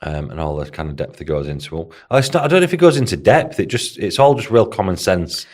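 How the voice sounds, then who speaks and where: A man with a Hull accent chats loosely on a podcast about coaching. His voice sits very low, and he rambles through his thoughts, leaning on fillers and tags as he goes. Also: very animated, fast talker, darkish tone. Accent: Hull, Northern English. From Hull, England. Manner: rambling, full of fillers like "do you know what I mean". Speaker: podcast co-host, bodybuilding coach. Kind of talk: casual podcast chat.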